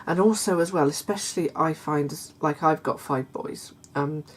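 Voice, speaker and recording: A middle-aged woman, chatting casually to her viewers in a croaky voice, hesitant with many fillers and restarts. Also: low voice, normal speed, a little hiss or hum. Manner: warm confiding tone toward viewers. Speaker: vlogger. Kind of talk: casual chat to camera.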